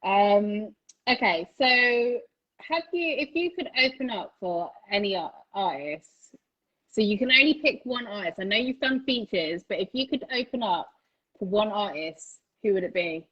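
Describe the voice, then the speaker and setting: A woman's voice: mid-range voice, expressive, moderate pace. A chatty, enthusiastic woman hosts a casual interview and laughs often between her words. Her speech wanders with plenty of fillers and restarts. The sound is dull and lo-fi. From United Kingdom. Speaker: interviewer, channel host. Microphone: phone recording.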